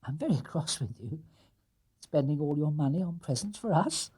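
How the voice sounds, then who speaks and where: A gentle middle-aged mother in a storybook, voiced by a man talks with lively intonation. She is fond even when scolding. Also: fairly high pitch, normal speed, breathy edge. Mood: fondly scolding.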